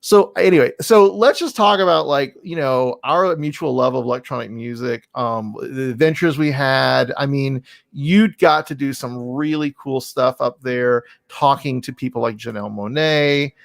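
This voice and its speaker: A man's voice: medium pitch, moderate pace, gravelly, few pauses, dry recording, clean studio sound. A man hosting a casual podcast interview, talking in an effusive, rambling, self-deprecating way. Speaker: podcast host.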